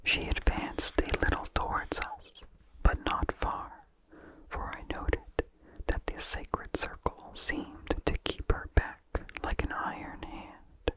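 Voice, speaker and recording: A person reading a vintage horror story as ASMR in a soft, hushed, deliberate whisper with no voice behind it. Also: poor audio. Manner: slow, hushed, deliberate delivery. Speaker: narrator.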